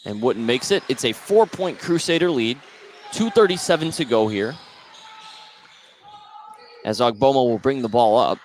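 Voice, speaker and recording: Noisy gym ambience sits behind a man commentating live on a basketball game for radio. His voice is medium in pitch, and he follows the play as it unfolds. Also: expressive, moderate pace, long pauses. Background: gym ambience, bouncing basketball.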